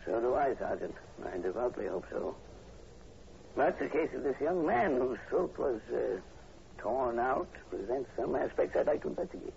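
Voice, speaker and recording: An actor playing an earnest university professor, measured and polite with hesitant pauses, in 1940s radio horror style. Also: male voice, mid-range voice, animated, creaky, a little mellow. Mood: grave, scholarly. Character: earnest, courteous university professor investigating werewolves. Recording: dull, noisy old radio broadcast recording.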